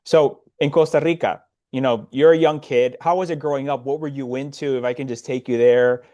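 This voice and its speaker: A man's voice: mid-range voice, steady tone, brisk, mellow, lo-fi sound. A man from Nicaragua, raised in the D.C. area, who hosts the interview and asks friendly, curious questions. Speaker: host. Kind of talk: casual interview.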